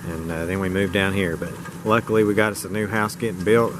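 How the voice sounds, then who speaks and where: A man's voice: sits low, flat delivery, fairly quick, noisy background. A man with an American accent chatting casually in a folksy, plain-spoken way as he gives an amateur show-and-tell. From rural United States. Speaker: vlogger showing his truck radio setup.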